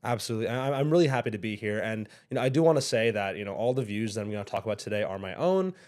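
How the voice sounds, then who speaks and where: A young man as a guest on an interview show, speaking thoughtfully, pausing often and restarting his sentences. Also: fairly low pitch, lively intonation, a little mellow. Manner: thoughtful, hesitant, many fillers and restarts. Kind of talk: casual interview.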